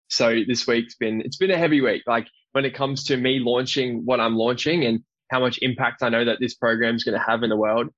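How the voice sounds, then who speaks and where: A man's voice: mid-range voice, fairly bright tone, no room sound, highs cut off. A man in his twenties with an Australian accent, talking earnestly and enthusiastically as a guest in a casual interview. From Australia, raised in Brisbane. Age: 20s. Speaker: podcast guest, personal trainer and coach. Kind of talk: casual, reflective interview talk.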